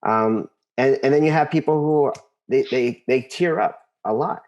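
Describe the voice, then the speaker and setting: An American man speaking as an interview guest, telling personal stories casually and earnestly, often halting and repeating words as he thinks. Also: medium pitch, darkish tone, muffled recording. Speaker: interview guest, composer and conductor.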